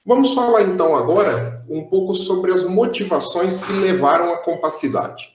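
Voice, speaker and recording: A man's voice: high-pitched, moderate pace, echoey room. Young man lecturing on a video call. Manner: explanatory, steady, with frequent "é" fillers. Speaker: math lecturer. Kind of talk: online math lecture. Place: indoor room, on a video call.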